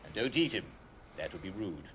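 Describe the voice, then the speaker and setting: An actor playing a chirpy British broadcast host in a retro announcer style, with forced cheer, chuckles and theatrical hesitations. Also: male voice, low-pitched, expressive, a little fast, fairly bright tone, slight background noise. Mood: giddy, cheerful. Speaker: broadcast presenter.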